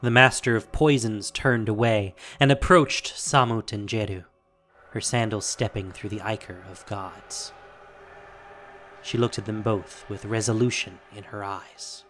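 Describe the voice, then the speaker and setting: A man's voice: fairly low pitch, fairly even intonation, fairly bright tone. Man narrating dramatically over faint music. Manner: measured, dramatic storytelling. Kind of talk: dramatic scripted fantasy narration.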